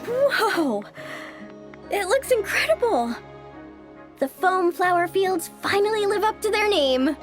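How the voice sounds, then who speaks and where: Small squeaky fairy sidekick, childlike, feisty, swooping intonation, game cutscene, faint music. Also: female voice, very animated, slow pace, pauses often, exaggerated voice. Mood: amazed, cheering. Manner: blunt, exclamatory. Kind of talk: video game voice acting. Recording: music behind the voice.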